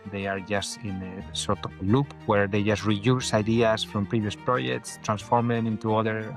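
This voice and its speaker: Soft-spoken Spanish-accented man. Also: fairly low pitch. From northern Spain. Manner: thoughtful, many hesitations. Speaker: architect and educator, guest. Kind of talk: conversational interview answers.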